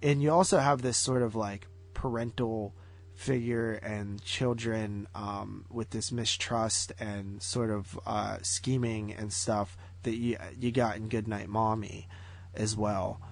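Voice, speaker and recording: A man co-hosting a movie podcast, reviewing films casually in a halting way, thinking aloud and qualifying as he goes. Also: on the low side, steady tone, vocal fry. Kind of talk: casual film review chat.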